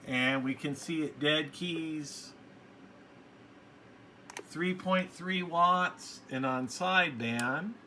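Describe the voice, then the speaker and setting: A man hosting a hobbyist video, talking casually through a product review in a plainspoken, halting, matter-of-fact way. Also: on the high side, bright, forceful tone. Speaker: radio hobbyist video host. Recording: faint background noise.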